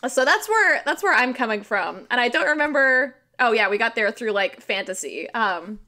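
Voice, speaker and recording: A woman's voice: a little high, normal speed. An American woman chatting informally as a podcast guest, telling stories and explaining things in a loose conversational way. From rural Missouri, United States. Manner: informal, animated, frequent "like", sweary. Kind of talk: casual conversational storytelling and explaining.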